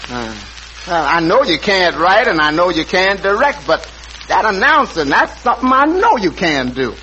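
Wry sidekick waiter, high lilting voice, dry teasing comebacks, muffled 1940s radio recording. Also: male voice, very animated, normal speed, sharp tone, slight background noise, exaggerated voice. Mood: skeptical, teasing. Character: wry, deadpan tavern waiter, the skeptical sidekick. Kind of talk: 1940s radio comedy acting.

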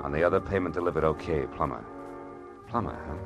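An actor playing a wry, world-weary private detective in clipped 1940s radio style, on an old broadcast recording. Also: male voice, very deep voice, fast, highs cut off, music in the background. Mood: tense, dry. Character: hardboiled male private detective, dryly wisecracking narrator.

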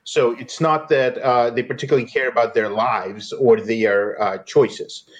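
A man's voice: mid-range voice, fairly even intonation, unhurried. Blunt male journalist from eastern Ukraine. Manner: blunt, fillers with uh, critical. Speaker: journalist guest. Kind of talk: interview answers.

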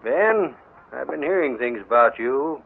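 A man's voice: medium pitch, lively intonation, measured pace, gravelly, noisy background. An actor playing a stern businessman father who scolds his son, in 1940s radio drama style. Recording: narrow old radio recording.

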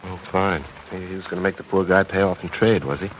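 An actor playing a young man friend in a 1940s radio drama, with low-key, murmured replies. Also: quick pace, a little hiss or hum, natural voice, low-quality recording.

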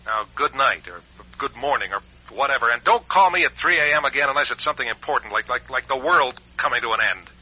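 An actor playing a plain-spoken, put-upon radio station manager in a radio drama, conversational, hesitant and confiding. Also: male voice, mid-range voice, lively intonation, fast talker, sharp tone, husky, muffled recording, exaggerated voice. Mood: groggy, annoyed. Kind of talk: radio drama acting and first-person narration.

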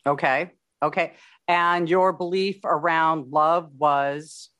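A male interviewer with a fairly high voice for a man. He keeps affirming his guest and reflects back what he hears. He asks gentle, probing questions. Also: steady tone, slow pace. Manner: affirming, frequent short agreements.

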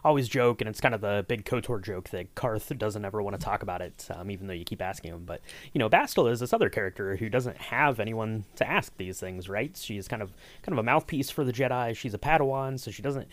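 A man's voice: fairly low pitch, animated, fast, no echo. A man hosting a casual behind-the-scenes interview, chatty and explanatory, often checking in with the listener. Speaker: podcast host interviewing a writer.

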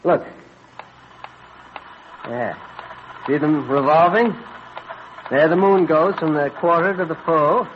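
An actor playing an earnest, absorbed colonial astronomer husband in an old-time radio drama, explaining eagerly with mild hesitations. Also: male voice, fairly high pitch, takes it slowly, long pauses, slight background noise. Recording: dull vintage radio recording.

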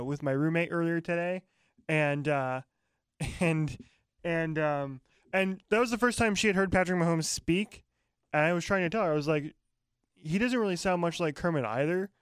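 Booming, laughing man with a clear voice. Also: dry recording, studio quality. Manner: loud, booming, laughs often. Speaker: podcast co-host. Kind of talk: casual chat.

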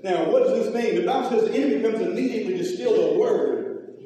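High-pitched Southern preacher man in echoey hall. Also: expressive, fast talker, warm tone. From Louisiana, United States. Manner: folksy, emphatic, prompts listeners to repeat and respond. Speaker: pastor. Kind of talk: informal sermon with call and response. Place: large reverberant room, preaching to a congregation. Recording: echoey hall, distant microphone, long reverb.

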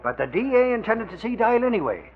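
A man's voice: high-pitched, sing-song, fast. A man playing an eager, talkative, slangy newspaper reporter in an old 1940s radio drama. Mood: animated, puzzled. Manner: slangy, folksy delivery. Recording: dull old radio recording.

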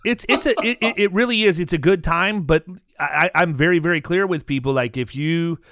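A man hosting a casual podcast, talking animatedly with many restarts and making pitches to his listeners. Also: a little fast, a bit bright, dry recording, studio quality. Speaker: podcast host.

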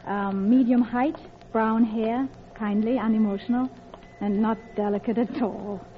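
An actress playing an anxious, guilt-ridden middle-class wife in a classic radio drama, with a polite, hesitant, confessional manner. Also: female voice, a little high, steady tone, a little slow, slight background noise, lightly acted. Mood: polite, curious. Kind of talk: classic radio drama acting, with intimate narration. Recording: dull old radio recording with music.

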